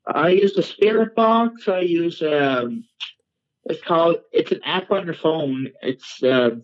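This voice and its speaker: A man giving casual interview answers as a guest, halting and plain-spoken. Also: fairly high pitch, highs cut off. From born in Norway, raised in Newfoundland, Canada. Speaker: guest, paranormal investigator and medium.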